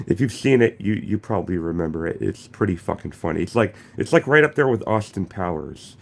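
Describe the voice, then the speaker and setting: A young man with a low-set voice talks straight to his audience in a loose, unscripted vlog. He has a cold and his throat sounds thick and congested. He swears freely and trips over his words, restarting sentences often. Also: lively intonation, fairly quick, vocal fry. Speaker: gaming YouTuber. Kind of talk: casual vlog commentary.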